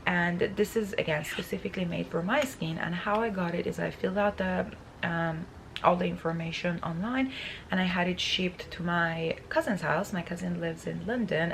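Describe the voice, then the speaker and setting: A woman in her thirties, raspy from just waking up, chatting to camera in her bedroom with many fillers and restarts. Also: on the low side, moderate pace, a little hiss or hum, poor audio. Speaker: beauty vlogger. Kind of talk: casual talk to camera. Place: bedroom, indoors.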